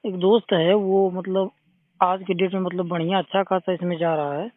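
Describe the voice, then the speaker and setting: A man's voice: high-pitched, little pitch movement, moderate pace, dry recording. A man talking casually on a phone call, hesitant and agreeable, often rephrasing himself. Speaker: caller seeking advice. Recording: tinny narrowband phone call recording.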